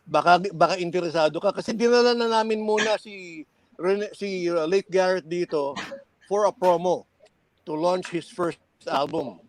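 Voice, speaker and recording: High-voiced older Filipino man reminiscing. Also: normal speed. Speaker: guest, former radio and record industry man. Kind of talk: casual reminiscing in a group chat.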